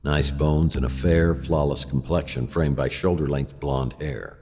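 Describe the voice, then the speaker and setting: A man playing a wry, world-weary private detective, narrating his case deadpan in a hardboiled radio drama. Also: very deep voice, a little slow, long reverb tail, faint music behind. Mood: wry, puzzled. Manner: deadpan, sardonic.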